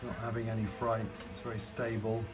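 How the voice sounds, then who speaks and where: A man's voice: fairly low pitch, flat delivery, moderate pace, poor audio. A man giving a casual, informal demo commentary as a drone reviewer, thinking aloud hesitantly. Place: outdoors on a garden patio in rain. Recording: outdoor recording with clear background noise.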